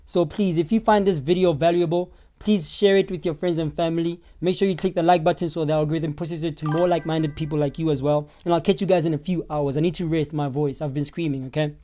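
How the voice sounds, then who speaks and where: A hoarse South African man hosting a live stream, reading viewers' comments out loud and answering them in an animated way. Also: on the high side, fast, few pauses, faint music behind. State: hoarse, losing his voice.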